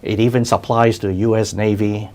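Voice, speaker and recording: A man's voice: level tone, darkish tone. An older man from China, raised in Hong Kong, hosts a business talk show in a casual chat, often repeating words and restarting sentences. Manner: repeats words and restarts, quick laughs, voice drops low when reflective. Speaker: show host, business consultant.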